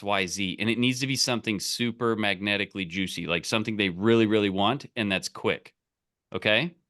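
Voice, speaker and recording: A man with a bright, sharp-edged voice coaches casually on a call. He is emphatic and direct, with a salesy push, and keeps checking that the listener follows. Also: mid-range voice. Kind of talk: casual coaching, explaining and giving example scripts.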